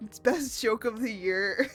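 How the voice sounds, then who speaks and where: A young woman streaming, her voice hoarse from laughing, breaking into giggles as she chats. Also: on the high side, measured pace, fairly bright tone, soft background music. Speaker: VTuber artist streamer. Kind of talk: casual live stream chat.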